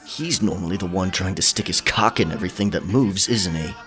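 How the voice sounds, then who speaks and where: Guy doing a gruff protective pirate drama tough, really low voice, snarly and scornful. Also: a little fast, fairly bright tone, faint music behind. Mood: contemptuous. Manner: growling, snarling, contemptuous. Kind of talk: audio drama acting.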